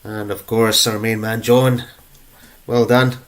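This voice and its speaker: A man's voice: fairly low pitch, level tone, slow pace. A young adult man with a cold, hosting a live online prize draw in a casual, chatty way with plenty of ums and uhs. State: stuffed up with a cold. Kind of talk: casual live-stream chat.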